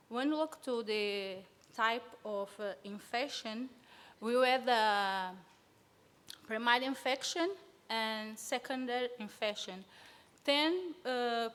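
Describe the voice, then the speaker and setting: A woman's voice: on the high side, a bit bright, clear, resonant tone. Nervous woman presenting in a reverberant hall. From São Tomé and Príncipe. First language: Portuguese. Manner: hesitant, many fillers, nervous. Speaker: conference presenter. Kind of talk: academic talk. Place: conference hall.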